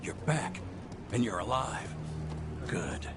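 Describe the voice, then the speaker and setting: A male elf ranger leader in a fantasy game. The voice is very deep, gruff and sombre, giving terse dramatic lines. A cinematic score is clearly heard behind it. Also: big pitch swings, slow, over loud music. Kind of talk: dramatic video game cutscene acting.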